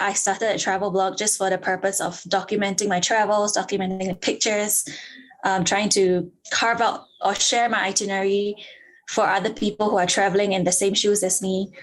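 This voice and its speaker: A woman's voice: mid-range voice, fairly even intonation, moderate pace, rough recording. A young woman from Singapore, telling her story fluently and eagerly as an interview guest on a remote call. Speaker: guest, travel blogger.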